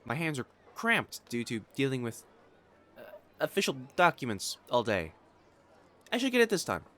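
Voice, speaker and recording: An actor playing a proud, secretly smitten young noble matchmaker in a romantic audio drama, with haughty formal diction that turns to stammering when flustered. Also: male voice, medium pitch, big pitch swings, moderate pace, fairly bright tone. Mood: flustered, defensive. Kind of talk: acted romantic audio drama monologue to the listener.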